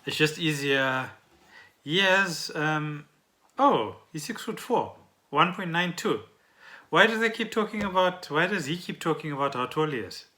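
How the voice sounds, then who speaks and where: Bright-voiced South African man chatting. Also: on the high side, expressive, unhurried. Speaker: podcast co-host. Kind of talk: casual unscripted podcast chat.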